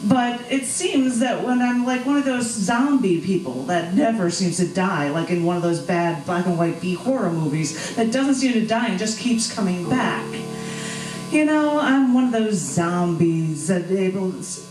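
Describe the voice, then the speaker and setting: A woman's voice: mid-range voice, expressive, measured pace, darkish tone. A woman performing and hosting at a live poetry open mic, speaking to the room from a stage. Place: small bar venue stage at an open mic. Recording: echoey live stage, distant mic, music behind.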